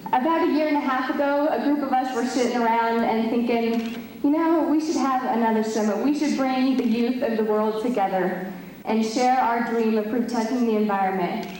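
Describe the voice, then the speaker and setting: A woman's voice: a little high, moderate pace, darkish tone, slight background noise. Woman giving an earnest speech in an echoing hall. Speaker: summit organizer. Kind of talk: speech at an event. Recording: echoey hall from far off.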